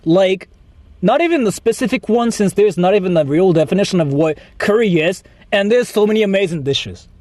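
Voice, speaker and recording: A man's voice: high voice, animated, brisk, darkish tone. A man from Japan chatting casually with livestream viewers, rambling and repeating himself, with some swearing. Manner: rambling, repetitive, profane. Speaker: livestream host.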